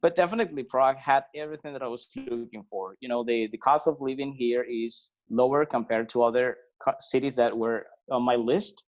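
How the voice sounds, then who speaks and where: A man from Venezuela chats as a podcast guest, easygoing and unhurried, often restarting his sentences and filling pauses. His voice sits in an ordinary middle register. The sound is a bit dull and lo-fi. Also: mid-range voice. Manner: relaxed, hesitant with many fillers and restarts. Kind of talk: casual conversational interview.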